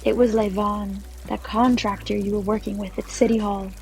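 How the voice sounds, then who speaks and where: A woman's voice: mid-range voice, flat delivery, normal speed, gravelly, darkish tone, close to an everyday voice. A woman playing a wry, forgiving, teasing former fiancée with a scoffing manner in a fantasy audio drama. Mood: resigned, forgiving. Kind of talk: acted fantasy audio drama from tabletop game.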